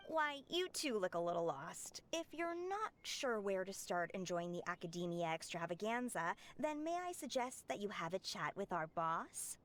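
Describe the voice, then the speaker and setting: A woman voicing a courteous, flattering merchant's messenger with a polished, inviting manner, in an anime game. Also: mid-range voice, normal speed, hardly any pauses, slight background noise, natural voice. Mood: courteous, promotional.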